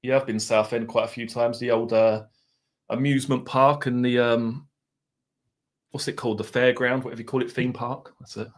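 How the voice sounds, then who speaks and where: A man's voice: mid-range voice, little pitch movement, normal speed. A man with an Essex accent hosting a live stream, rambling casually and chatting with his viewers. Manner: mild swearing, laid-back banter.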